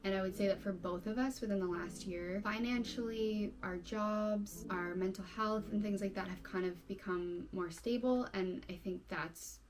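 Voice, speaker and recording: A woman's voice: medium pitch, moderate pace, few pauses, low-quality recording. Chatty woman in her twenties. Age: 20s. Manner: chatty, reads out viewer questions. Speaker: channel host. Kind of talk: casual chat. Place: bedroom at home.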